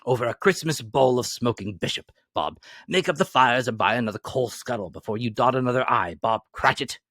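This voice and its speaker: A man voicing an elderly miser turned joyful and generous, in an eager, excited manner. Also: medium pitch, brisk, no echo, studio quality. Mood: jovial.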